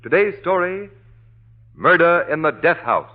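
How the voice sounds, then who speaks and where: A man's voice: on the high side, very animated, unhurried. A male announcer introducing a 1930s radio drama in a formal, declamatory manner. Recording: tinny old radio recording with music.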